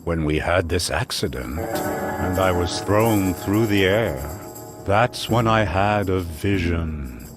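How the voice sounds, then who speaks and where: Slow, deep, deluded mystic. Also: male voice, expressive. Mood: pompous. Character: eccentric asylum inmate, pompous deluded would-be mystic. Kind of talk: adventure game character acting. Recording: loud music under the voice.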